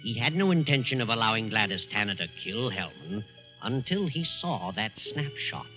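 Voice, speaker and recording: Narrator: American male, dramatic 1940s radio delivery with pauses, dull vintage broadcast sound, music underneath. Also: lowish voice, sing-song. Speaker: narrator and announcer.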